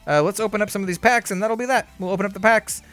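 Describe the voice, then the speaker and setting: Chatty man unboxing cards, slightly hoarse. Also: high-pitched, rapid-fire, a bit bright, soft background music. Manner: easygoing, chatty, lots of fillers, upbeat reactions. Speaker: card game channel host. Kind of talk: casual unboxing commentary.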